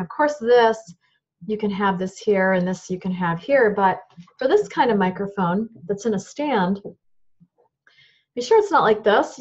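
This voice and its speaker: A woman voice teacher with a high voice explains microphone use in a plain, practical way, holding up gear as she goes. The sound is a little dull and lo-fi. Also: a little mellow. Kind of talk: instructional talk to camera.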